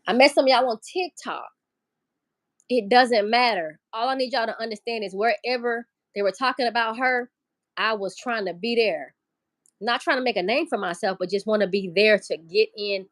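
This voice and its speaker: A woman's voice: medium pitch, no echo, clean studio sound. A woman hosting a casual livestream, talking loosely, swearing and greeting viewers in the chat. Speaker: livestream host.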